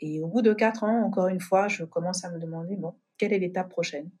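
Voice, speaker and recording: A middle-aged woman from Côte d'Ivoire, a guest telling her story in a relaxed interview, with many pauses, fillers and restarts. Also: on the low side, breathy edge. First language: not English. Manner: thoughtful, many fillers and restarts, laughs easily. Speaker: podcast guest, company founder.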